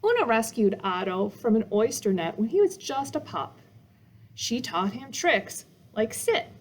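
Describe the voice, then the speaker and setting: A woman reading a children's picture book aloud as a storytime reader, with dramatic emphasis meant to engage young listeners. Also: fairly high pitch.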